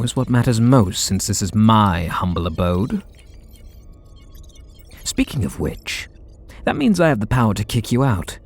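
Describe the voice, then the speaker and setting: A man's voice: lowish voice, heavily acted. A man playing a brooding, sardonic super villain confessing hidden devotion in an ASMR roleplay audio drama. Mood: sardonic, dismissive.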